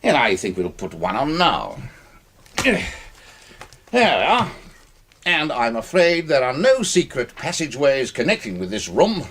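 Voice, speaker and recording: A man's voice: mid-range voice, wildly swooping pitch, unhurried, pauses often, fairly bright tone, slight background noise. An actor playing an elderly, courteous British gamesman who shows off deadly contraptions with theatrical relish in a radio drama. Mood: teasing menace. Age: older.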